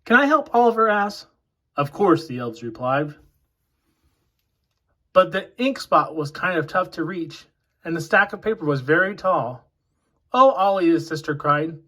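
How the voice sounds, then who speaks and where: Higher-voiced man reading a children's storybook. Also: expressive, normal speed, fairly bright tone. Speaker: children's picture book reader. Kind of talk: read-aloud storybook narration for children.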